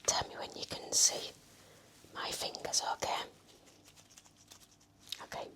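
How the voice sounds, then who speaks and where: A woman whispering gently and reassuringly in an ASMR roleplay as a nurse, close to the microphone. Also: slow.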